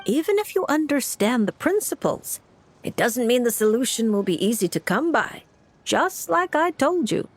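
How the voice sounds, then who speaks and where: A woman voicing a calm, knowing fortune teller in a video game, measured and cryptic, with music behind her. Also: mid-range voice. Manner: measured, cryptic, gently teasing. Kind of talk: theatrical video game voice acting.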